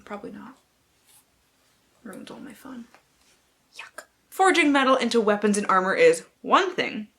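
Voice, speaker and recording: A woman's voice: fairly high pitch, fairly bright tone. Theatrical woman book vlogger, reads blurbs all dramatic then drops into slangy sweary side comments. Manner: theatrical, playful asides to herself. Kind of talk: casual chat mixed with mock-dramatic reading of book blurbs.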